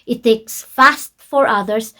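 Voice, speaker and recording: A Filipino-accented woman speaks in a slightly high voice as an online coach. She uses simple, deliberate English as a second language. Her informal delivery is emphatic, with key points repeated for the viewers. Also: sharp tone. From Philippines. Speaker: YouTube coach.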